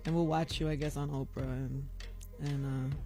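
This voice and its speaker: A woman's voice: a bass voice, fairly even intonation, normal speed, creaky, mellow, soft background music. A woman hosting a radio show, chatting casually on a studio microphone, playful and teasing with her guest. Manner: playful, teasing, laughs often. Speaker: radio host.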